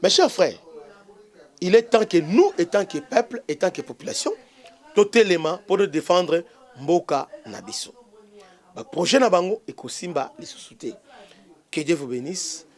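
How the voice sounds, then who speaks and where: A man's voice: sits high, expressive. A man with a Congolese French accent, a bishop hosting his own channel, giving an impassioned political commentary full of hesitations and stray Lingala words. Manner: halting, many fillers and restarts, mixes in Lingala.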